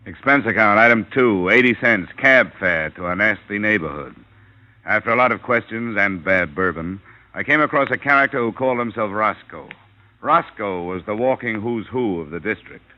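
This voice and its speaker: A man's voice: fairly low pitch, lively intonation, moderate pace, pushed and bright, dry recording, lo-fi sound. A man with an American accent acting a cool, persistent insurance investigator in an old-time radio drama, speaking in a dry, clipped, matter-of-fact way. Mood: dry narration. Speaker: lead actor narrating a detective series.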